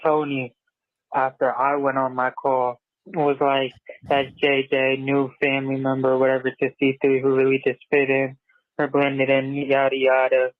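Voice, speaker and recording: A young man in his late teens calling in to a sports podcast, speaking slowly in a casual chat. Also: mid-range voice, no echo. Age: young adult. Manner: slow, flat delivery. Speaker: caller. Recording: tinny phone line.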